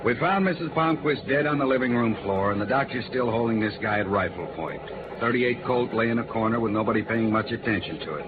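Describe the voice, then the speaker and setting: An actor playing a big, shrewd middle-aged homicide lieutenant with an American accent, sardonic and colloquial, in a 1950s radio drama. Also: male voice, medium pitch, animated, a little fast, narrow, tinny audio, lightly acted. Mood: matter-of-fact. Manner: world-weary, sardonic, colloquial. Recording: noisy, thin old radio recording.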